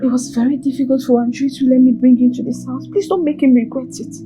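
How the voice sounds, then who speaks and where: An actress playing a fierce but loving older sister who scolds and pleads emotionally. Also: female voice, high voice, fairly even intonation, fast talker, close to an everyday voice. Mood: tearful pleading.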